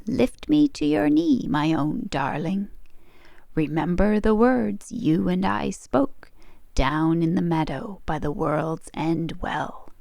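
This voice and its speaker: A woman voicing an enchanted frog in a storybook, pleading insistently in a chanting rhyme with drawn-out endearments. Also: lowish voice, lively intonation, gravelly, mellow, dry recording, exaggerated voice.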